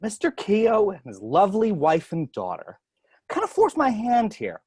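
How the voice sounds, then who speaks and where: Performer as husky, wildly swooping crime boss. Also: medium pitch, wildly swooping pitch, moderate pace, darkish tone, no echo, studio quality. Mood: mock disappointment. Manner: theatrical, mock-tender, abruptly disgusted. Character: flamboyant, menacing male crime boss, mockingly sweet. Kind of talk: amateur table read performance.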